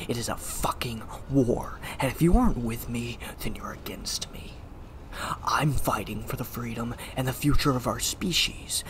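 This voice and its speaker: An actor in an audio drama playing a bitter, hunted superhuman rebel with fierce conviction and an intense, hushed, menacing delivery. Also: mid-range voice, a little hiss or hum, natural voice.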